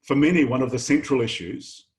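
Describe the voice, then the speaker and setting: A middle-aged man from New Zealand, a professor, giving a measured, earnest welcome address to new students in an online session. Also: mid-range voice. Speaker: professor and incoming programme director. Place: home office, online session.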